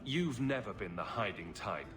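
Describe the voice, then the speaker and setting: A man voicing a confident male hero who speaks calmly and teases dryly. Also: on the low side, moderate pace. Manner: calm, dryly teasing. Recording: game cutscene with music behind.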